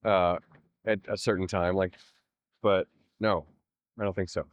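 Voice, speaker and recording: A man's voice: sits low, steady tone, a little slow, no room sound. A man giving casual, rambling interview answers packed with "like" and "you know". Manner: rambling, filler-heavy.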